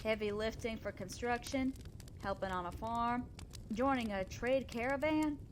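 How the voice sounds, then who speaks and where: A woman playing a kind, selfless, self-doubting female centaur in a roleplay audio drama, speaking earnestly, gently and hesitantly to the listener. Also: on the high side, a little slow, noisy background, lightly acted. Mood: resigned.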